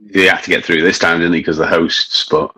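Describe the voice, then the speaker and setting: Low husky northern English man. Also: little pitch movement, fairly quick, pushed and bright. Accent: mild northern English. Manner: chatty, rambling, hesitant with many fillers. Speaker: beer reviewer. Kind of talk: casual chat.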